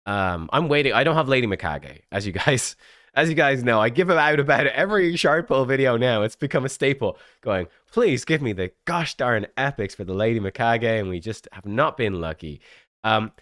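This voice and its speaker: A man with a stuffy, slightly rough voice from a cold, giving a chatty, rambling breakdown of a game event as a gaming channel host. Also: mid-range voice, animated, normal speed, fairly bright tone, no echo, clean studio sound. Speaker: gaming YouTuber. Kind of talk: casual game commentary.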